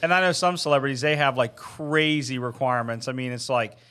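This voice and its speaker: A man's voice: mid-range voice, fairly quick, sharp tone, clear, resonant tone. A man talking casually as a podcast panelist, rambling and hedging as he goes and often correcting himself. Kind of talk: casual group chat.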